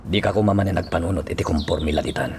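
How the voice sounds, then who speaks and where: A man's voice: sits low, rapid-fire, a little mellow, natural voice. A man playing a stern, reluctant husband in a radio drama, with an Ilocano accent. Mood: firm, reluctant. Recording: faint birdsong and background noise.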